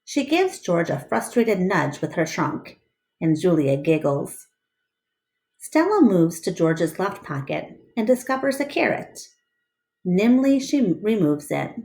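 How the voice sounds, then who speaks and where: Expressive, lowish-voiced woman reading aloud. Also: takes it slowly, gravelly. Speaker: teacher reading aloud to a class. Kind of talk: expressive storybook read-aloud narration.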